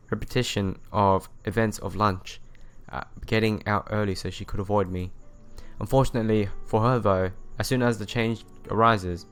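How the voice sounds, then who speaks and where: Low-voiced man narrating a visual novel. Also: fairly bright tone, clear, resonant tone. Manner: stumbles over text and rereads phrases. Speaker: let's player. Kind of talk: reading visual novel narration aloud, expressive storytelling. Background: music.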